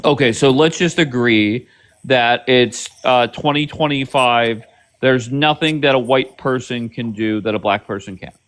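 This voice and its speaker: A man's voice: mid-range voice, a little slow, fairly bright tone. A man hosts a heated live-stream debate, talking bluntly and swearing freely. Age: 50s. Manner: blunt, profane, pushy.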